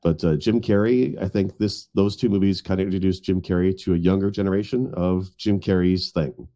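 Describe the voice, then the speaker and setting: A man's voice: low voice, steady tone, fairly quick, warm tone. A man speaking as a podcast panelist in an informal, hedging, conversational style. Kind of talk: casual chat.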